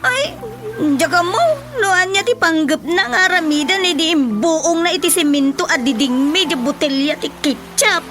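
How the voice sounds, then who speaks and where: An actress playing a distressed, complaining village wife with an apologetic manner in a radio comedy drama. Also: female voice, very high voice, animated, normal speed, music in the background. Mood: aggrieved, explaining.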